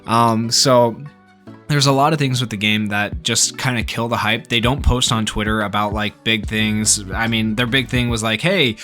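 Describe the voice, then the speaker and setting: A man's voice: fairly low pitch, steady tone, moderate pace, pushed and bright. A man speaking off the cuff as a gaming YouTuber, giving informal, rambling commentary on a game. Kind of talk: casual video commentary on a game. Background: background music.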